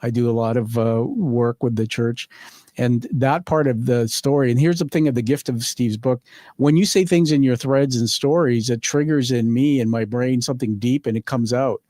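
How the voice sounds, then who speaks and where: A male podcast host talking conversationally with a guest. He is effusive and encouraging, and wanders into asides as he goes. The sound is dry, with very little room on it, and his pitch is average for a man. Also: steady tone, normal speed, mellow, no echo. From born on a military base in the UK. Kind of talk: conversational interviewing.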